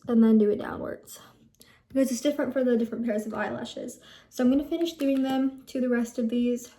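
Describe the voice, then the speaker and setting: A woman's voice: a little high, warm tone, roomy sound. A young woman in her teens giving a casual skincare routine vlog, chatty and rambling, often correcting herself. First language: Telugu heritage speaker, English first language. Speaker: vlogger.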